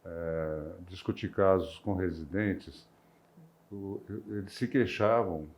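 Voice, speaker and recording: An older retired male physician with a rural São Paulo interior accent, recalling his career with many hesitations and restarts. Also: takes it slowly. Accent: rural São Paulo interior, near Minas Gerais. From interior of São Paulo state, Brazil. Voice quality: deep voice. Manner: hesitant, with frequent restarts and filler sounds. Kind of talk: reflective personal recollection.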